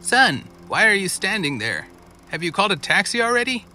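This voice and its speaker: Man as an old grandmother, high-pitched, lively swooping intonation, cooing, slow, fond, audio story, some background noise. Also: full character voice. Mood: eager, fond. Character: elderly grandmother, slow and cooing, eagerly affectionate.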